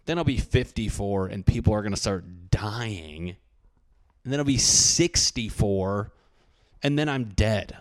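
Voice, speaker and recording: A man's voice: fairly low pitch, dry recording. A man in his thirties hosting a podcast alone, rambling casually with lots of swearing and self-deprecating jokes. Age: 30s. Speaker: podcast host. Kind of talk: casual solo podcast monologue.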